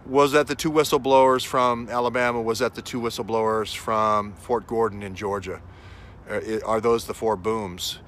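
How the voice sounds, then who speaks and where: Bright-voiced man vlogging outdoors over street noise. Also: lowish voice, steady tone. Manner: halting, emphatic, repeats phrases for stress. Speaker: vlogger, self-styled investigator. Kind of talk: unscripted outdoor vlog monologue, speculative commentary. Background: faint urban ambience and vehicles.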